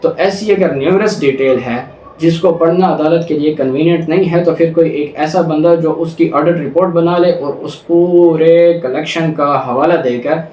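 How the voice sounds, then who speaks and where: A man's voice: fairly high pitch, mellow. A man with a Pakistani accent giving an explanatory law lecture, mixing in English terms as he goes through clauses and examples. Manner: reads English clauses slowly, then explains with examples, code-switching. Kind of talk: explanatory lecture, reading statutes then explaining. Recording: echoey room with clear background noise.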